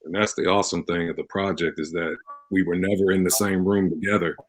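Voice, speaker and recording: A man in a casual interview as a radio drama cast member, talking haltingly and repeating his phrases. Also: sits low, level tone.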